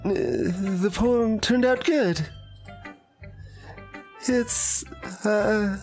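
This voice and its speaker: A man voicing a shy, sweet anime schoolgirl from a visual novel, halting and timid. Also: high voice, darkish tone, voice pushed up. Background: game music.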